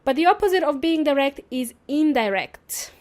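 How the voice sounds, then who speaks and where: A woman's voice: high voice, expressive, normal speed, darkish tone. A woman whose native language is Serbian, hosting an English-teaching podcast in an upbeat, playful and chatty way, often asking her listeners questions. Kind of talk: solo teaching podcast monologue.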